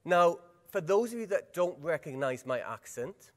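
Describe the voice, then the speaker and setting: A man's voice: fairly high pitch, moderate pace. Welsh man presenting in a big hall. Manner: lively, engaging, asks the audience questions. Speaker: workshop presenter. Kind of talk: conference workshop talk. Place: large conference hall. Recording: long hall reverb.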